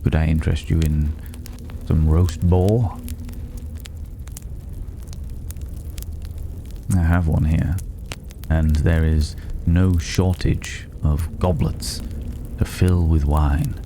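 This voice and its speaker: A man voicing a mysterious, cultured recluse in a fantasy ASMR roleplay, speaking in a measured, philosophical way with breathy, whispered edges. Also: extremely low pitch, full character voice. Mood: intrigued, inviting. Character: mysterious, cultured, solitary male stranger living among dragon's hoard. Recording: clear steady background noise.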